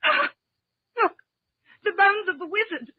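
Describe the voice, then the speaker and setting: An actress playing a terrified, half-crazed English farmer's wife in an overwrought 1940s radio drama style. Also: female voice, extremely high pitch, big pitch swings, measured pace, no room sound, heavily acted. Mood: hysterical fear. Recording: tinny old radio broadcast, crowd behind.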